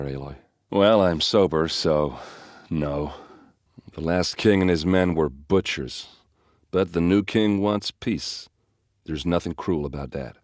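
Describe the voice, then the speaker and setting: Brash warrior captain, low-pitched, gruff, blunt, wry, loyal hard drinker, video game dialogue, dry close recording. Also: male voice, dry recording. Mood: wry, casual. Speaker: game character voice actor.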